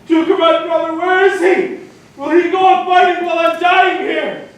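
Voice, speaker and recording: An actor playing a proud male warrior hero driven mad with grief, declaiming with anguished shouts and low despair. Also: a little slow. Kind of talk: stage tragedy acting. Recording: echoey room, far from mic, faint noise.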